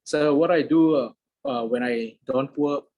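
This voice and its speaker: A young man from Medan whose first language is Hokkien, answering interview questions in a halting way with many pauses. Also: medium pitch, darkish tone. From Medan, Indonesia. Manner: hesitant, many "uh" fillers. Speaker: interviewee, polyglot.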